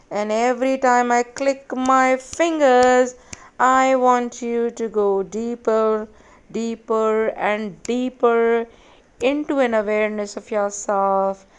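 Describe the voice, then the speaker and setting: A woman leading a guided meditation in a measured, hypnotic way, giving repetitive instructions with long pauses. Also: fairly high pitch, a little mellow, clear, resonant tone.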